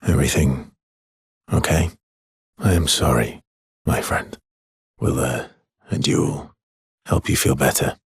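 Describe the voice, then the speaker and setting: An actor playing a wry, loyal, world-weary swordsman in a video game, with a husky, breathy voice and a dry, teasing manner. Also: very deep voice, slow, pauses often, no room sound, full character voice. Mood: sincere, subdued.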